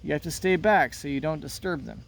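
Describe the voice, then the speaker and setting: A man reading the part of a friendly, enthusiastic young girl in a children's play, in a bright, encouraging manner. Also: fairly high pitch, brisk, lightly acted. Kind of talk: read-aloud of a children's play. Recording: noticeable background noise.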